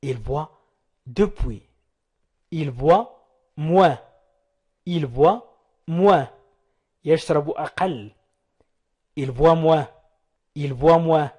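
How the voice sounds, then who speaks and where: Slow, husky man drilling phrases. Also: on the high side, mellow. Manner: repeats phrases slowly, splits them into chunks. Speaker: language teacher. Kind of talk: slow repetition drills for learners.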